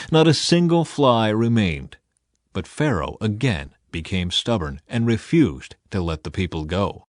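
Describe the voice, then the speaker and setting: A man's voice: on the low side, darkish tone, no room sound, clean studio sound. A man reading scripture aloud as a scripted audiobook narration.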